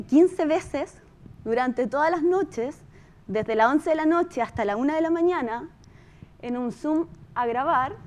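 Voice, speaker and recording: A Chilean woman speaking as a producer, telling a story in an informal, conversational presentation with emphatic repetition. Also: sits high. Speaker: podcast producer giving a talk.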